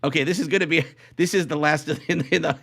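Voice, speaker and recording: A man's voice: a little high, steady tone, quick pace, gravelly, fairly bright tone. A middle-aged man co-hosting a podcast in casual, rambling chat, circling back and repeating his phrases. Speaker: podcast co-host.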